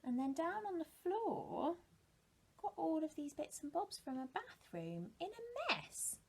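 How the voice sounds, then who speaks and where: British lady teacher chatting to little kids, really up and down, bit creaky. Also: very high voice, unhurried, background noise. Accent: British English. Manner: expressive, asks listeners rhetorical questions. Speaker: primary school teacher. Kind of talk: animated talk and storybook reading to young children.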